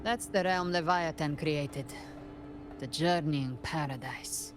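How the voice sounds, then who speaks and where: An actress playing a cool, cryptic guardian in a video game, speaking with deliberate, theatrical poise as she explains. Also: low voice. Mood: cryptic, assured. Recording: game music clearly behind the voice.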